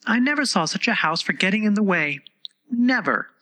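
A man's voice: high-pitched, animated, brisk, sharp tone, voice pushed up, full character voice. A man voicing a polite, curious little girl who is easily exasperated, earnest and full of questions.

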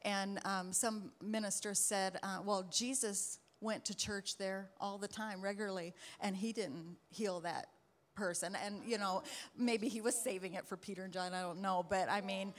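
A woman's voice: mid-range voice, steady tone, moderate pace, hardly any pauses. Hesitant woman sharing her faith. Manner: hesitant, many ums, soft-spoken. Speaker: missionary guest. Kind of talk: church panel interview. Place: church hall with audience.

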